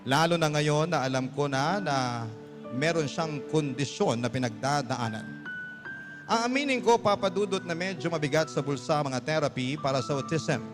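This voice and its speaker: A man's voice: a little high, expressive, normal speed. A man with a Filipino accent reading a letter as dramatic radio narration in an emotive, earnest manner. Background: background music.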